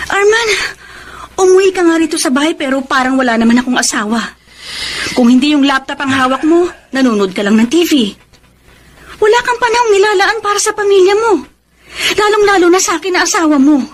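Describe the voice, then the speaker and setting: A very high-voiced, wounded Filipino wife. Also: female voice. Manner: emotional, reproachful, pleading. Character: neglected, hurt middle-aged wife, long-suffering and pleading. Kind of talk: Philippine radio drama acting.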